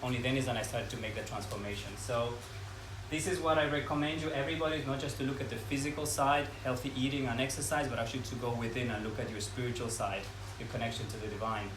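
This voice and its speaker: A man in his thirties from Ecuador, giving an earnest, unhurried talk to a live audience and listing ideas one by one. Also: mid-range voice, fairly even intonation. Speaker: speaker at a talk. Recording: echoey room from far off, noisy.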